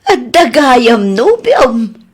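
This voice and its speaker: A woman playing a teasing, nosy mother in an Ilocano Filipino radio comedy, with a husky voice. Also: a little high, sing-song, very slow, full character voice. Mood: teasing, amused. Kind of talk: comedy radio drama acting.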